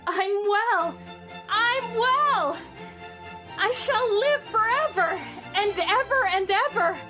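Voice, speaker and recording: A woman's voice: extremely high pitch, expressive, slow pace, over music, full character voice. A woman voicing a young boy recovering from illness, earnest and then overjoyed, in an amateur audio drama. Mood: ecstatic. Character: sickly boy recovering, eager and wondering.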